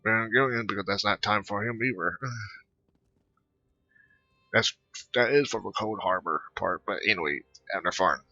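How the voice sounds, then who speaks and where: Guy doing a lets play, kinda low but really sharp voice, halting rambly muttering, dull dry mic w clicks. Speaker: gaming channel host. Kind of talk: casual let's play commentary, reading dialogue aloud.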